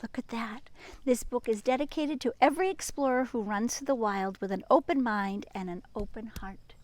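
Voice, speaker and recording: A woman teacher reading a picture book aloud and chatting to young children in an expressive, sing-song manner, with hushed asides. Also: fairly high pitch, normal speed.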